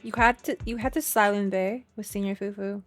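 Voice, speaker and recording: A woman is streaming a story game. Her voice sits at an average pitch as she moves between reading narration aloud and tossing in casual reactions. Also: steady tone, brisk, faint music behind.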